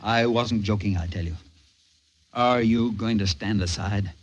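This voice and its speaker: A man's voice: fairly low pitch, a little slow, highs cut off. An actor playing an exasperated, commanding rocket captain who insists on his sanity, in old-time radio drama style. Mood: indignant. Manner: commanding, indignant, emphatic.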